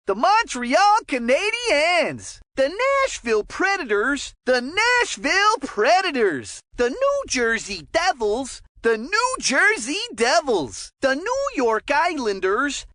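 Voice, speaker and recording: Hyped up cartoon hockey game announcer, slow punchy callouts, super dry close recording. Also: high-pitched, bright, forceful tone, no room sound, heavily acted. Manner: exaggerated, punchy announcer delivery. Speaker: sports announcer. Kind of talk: game commentary, isolated team-name callouts.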